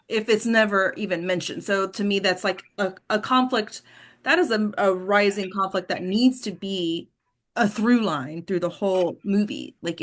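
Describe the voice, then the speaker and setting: A woman's voice: medium pitch, fairly bright tone. A woman acting coach hosting a casual discussion, explaining with emphasis and prompting the group with questions.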